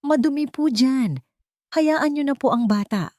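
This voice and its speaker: A woman's voice: sits high, measured pace, warm tone, no echo, studio quality, pitched above the performer's own voice. A woman storyteller voicing an anxious, pleading housemaid who is scheming and falsely polite. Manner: pleading, nervous.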